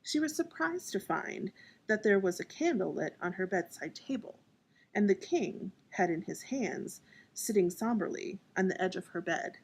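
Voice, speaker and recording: A woman's voice: mid-range voice, husky. A woman narrating as an author and storyteller. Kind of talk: scripted audiobook narration.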